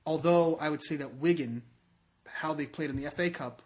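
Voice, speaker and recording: Higher-voiced man talking soccer casually. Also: normal speed, a little mellow. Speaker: sports podcast co-host.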